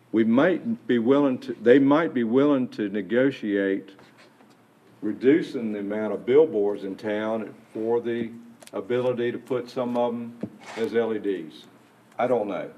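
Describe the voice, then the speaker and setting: A lower-pitched man speaks at a council dais. He argues a point in a rambling, persuasive way, restarting his phrases as he goes. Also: a little mellow. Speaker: city council member. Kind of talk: council debate remarks. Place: council chamber.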